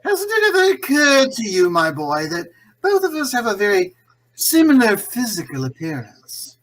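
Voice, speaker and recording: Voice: medium pitch, wildly swooping pitch, moderate pace, fairly bright tone. An actor playing a condescending, scheming imprisoned crime boss in a 1940s-style radio play, suave and gloating in manner. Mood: sly, amused.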